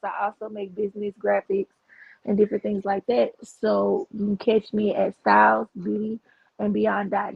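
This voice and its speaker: A woman's voice: medium pitch, gravelly, husky, dry recording, highs cut off. A woman in her thirties, a guest on a podcast, chatting playfully in a casual talk. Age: 30s. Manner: playful, laughing. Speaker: podcast guest, business owner. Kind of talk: casual chat.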